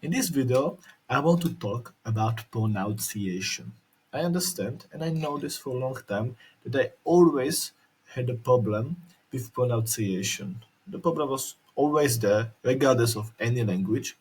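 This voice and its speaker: Slovak man talking haltingly, slightly husky. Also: medium pitch, expressive, rough recording. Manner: halting, repeats and restarts words, careful articulation. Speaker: video creator. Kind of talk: casual instructional vlog. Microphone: headphones with mic.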